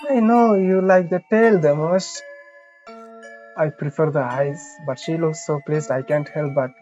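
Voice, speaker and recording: A let's play streamer reads a visual novel aloud at an unhurried pace, adding casual comments. The delivery is halting, with lines restarted here and there. The sound is a little dull, and game music plays behind the voice. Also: mid-range voice, mellow.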